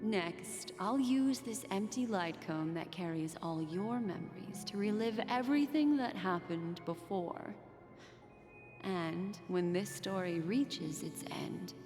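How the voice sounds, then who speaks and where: Casting: teasing, enigmatic fantasy woman narrator; slow, hushed bedtime delivery, long reverb tail. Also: medium pitch. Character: mysterious, teasing, soothing female storyteller in fantasy game. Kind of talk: scripted game narration. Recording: long reverb, music behind. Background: game music.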